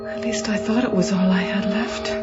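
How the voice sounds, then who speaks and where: A woman's voice: mid-range voice, fairly even intonation, moderate pace, highs cut off, close to an everyday voice. An actress playing a lonely, world-weary woman seeking comfort, in a melodramatic audio drama style. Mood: forlorn. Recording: band-limited audio drama with music underneath.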